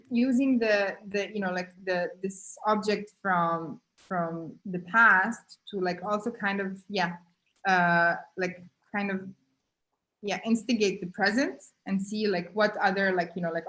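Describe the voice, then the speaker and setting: A woman artist telling a story in a casual conversation, halting often with fillers, over a video call. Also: medium pitch, a bit bright, low-quality recording. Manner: halting, many "you know, like" fillers. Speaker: guest artist.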